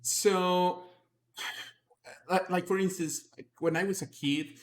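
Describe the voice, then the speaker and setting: A man's voice: sits high, steady tone, slow. A man who is not a native English speaker, hosting a casual interview and asking curious follow-up questions, often repeating words as he starts. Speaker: podcast host.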